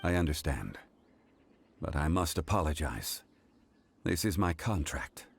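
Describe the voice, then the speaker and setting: A man voicing an ancient, dignified male god who speaks in a measured, formal, grave way. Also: extremely low pitch, sing-song, gravelly, slight background noise, full character voice. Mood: regretful.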